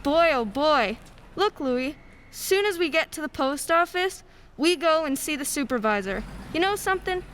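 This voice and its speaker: A woman's voice: high voice, expressive, moderate pace, a bit bright. A woman playing a brash, folksy male city postal worker in an amateur radio play, slangy and breezy. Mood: excited, slangy. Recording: faint background noise.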